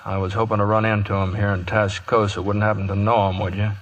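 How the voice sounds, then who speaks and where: A steely cowboy hero from an old radio western, played by a man. He talks low, terse and controlled, on a slightly dull vintage recording. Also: level tone, lightly acted. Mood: steely calm. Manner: measured, terse. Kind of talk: 1950s radio western acting.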